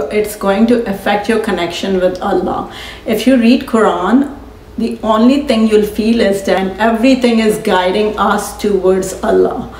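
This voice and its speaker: A woman shares her personal views in a sincere, chatty way, sometimes pausing to restart a sentence. Her voice is of middling pitch. It echoes around the room because she sits away from the mic. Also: a little mellow, recorded from a distance, slight background noise. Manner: sincere, conversational, slightly halting. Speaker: host. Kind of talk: casual opinion vlog.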